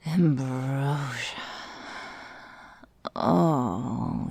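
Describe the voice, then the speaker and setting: A woman playing a seductive, possessive vampire mistress in an intimate ASMR roleplay, breathy and whispery, with formal, languid phrasing. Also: deep voice, darkish tone, no room sound, full character voice. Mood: hungry, seductive.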